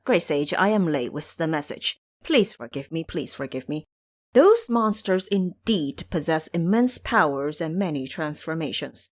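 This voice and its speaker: Woman as a deferential spirit messenger, animated. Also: low-pitched. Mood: pleading. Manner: formal, earnest warning. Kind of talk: audio drama acting.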